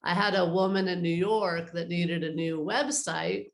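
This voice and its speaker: A woman with a slightly low voice talks as an interview guest in a clearly echoey room. She explains things conversationally, like an advisor giving practical guidance. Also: steady tone, normal speed.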